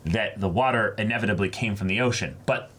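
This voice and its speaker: A man's voice: lowish voice, a little fast, fairly bright tone. A man co-hosting a fan channel, chatting casually and picking over fine points with emphatic repetition. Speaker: co-host of an anime fan channel.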